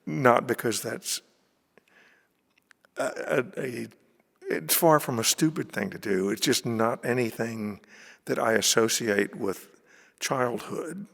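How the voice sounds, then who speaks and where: A man's voice: medium pitch, slow pace, gravelly, pauses often, a bit bright, big-room sound. A man hosting a casual interview, asking curious, rambling questions. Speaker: interviewer, show host.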